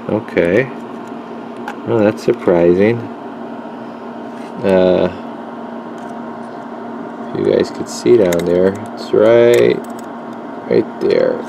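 A slow-talking man with a very low voice hosts a casual repair video from his workbench. He thinks aloud as he goes, in an unhurried way. A clear, steady noise hums behind him in the workshop. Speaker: radio repair technician and video host. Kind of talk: casual hands-on repair commentary. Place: indoor electronics workshop bench. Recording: noisy workshop with steady background hum.

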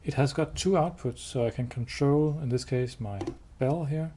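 A man giving a hands-on product demo indoors, explaining step by step in a halting, matter-of-fact way. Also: medium pitch, dark tone. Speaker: product demonstrator. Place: indoors, showroom with wall-mounted devices. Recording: clear background noise.

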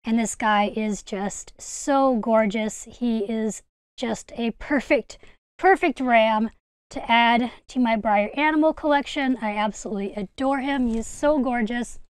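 A young woman presents her collection in a casual, gushing vlog style. Her voice is high and clean, recorded close and dry with very little room sound. She sounds keen and enthusiastic about what she shows. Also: measured pace, smooth, no room sound. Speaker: video host, hobby collector. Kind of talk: casual haul vlog narration.

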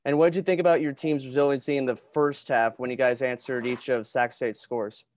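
A man's voice: mid-range voice, fairly even intonation, fairly quick, a little mellow. A young man in his twenties asking plain, direct questions from a small office over earbuds on a video call. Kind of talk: press conference questions.

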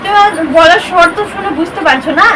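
A woman's voice: very high voice, steady tone, quick pace, a crowd in the background, background noise. A woman chatting casually and flirtatiously, in a coaxing, pleading way. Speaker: vlogger making a prank call. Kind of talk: casual flirtatious phone chat. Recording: distant mic with music behind.

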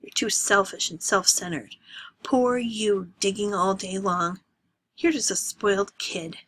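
A woman voicing a hurt, defiant teenage girl who speaks in a tense hush. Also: medium pitch, fairly even intonation, unhurried, slight background noise, natural voice, rough recording. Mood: bitter.

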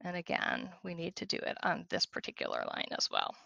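A woman leads a screen-share software tutorial in a conversational, step-by-step way. Her voice sits a little low for a woman, with a bright, forceful edge and a creaky fry. The sound is close and dry. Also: wildly swooping pitch, fairly quick, no room sound. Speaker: software demonstrator.

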